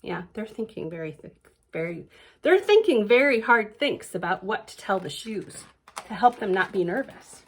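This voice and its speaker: A woman reading a children's picture book aloud with expressive, drawn-out words, whispered drops and chatty questions to young listeners. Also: mid-range voice, breathy edge. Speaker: library storytime reader.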